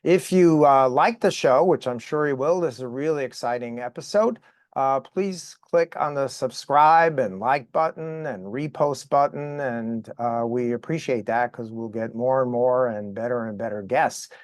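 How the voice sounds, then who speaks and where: A man hosting a podcast interview over video call, raspy from a recent cold, enthusiastic and full of fillers. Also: medium pitch, no room sound, studio quality. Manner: enthusiastic, halting with many fillers. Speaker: podcast host.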